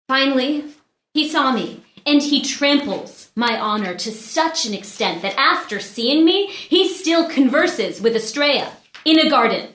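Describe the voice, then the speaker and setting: A woman's voice: sits high, lively intonation, unhurried, a bit bright. An actress playing a proud, wronged young noblewoman in a read-through of a classical play, with earnest, declamatory delivery. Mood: impassioned pleading. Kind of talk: unrehearsed stage play reading. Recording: roomy echo.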